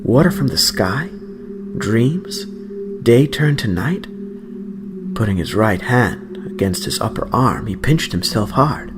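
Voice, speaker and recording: A man's voice: fairly low pitch, big pitch swings, takes it slowly. A man narrating an audiobook story in a dramatic, scripted reading style. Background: background music.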